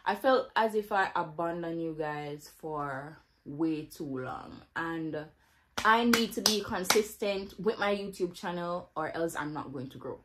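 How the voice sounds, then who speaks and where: A woman, chatting casually to camera at home in a relaxed, laughing way, filmed on a phone. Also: lowish voice, slight background noise. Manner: relaxed, laughing, slow and easygoing. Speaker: vlogger. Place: bedroom and bathroom of a home. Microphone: phone camera held close.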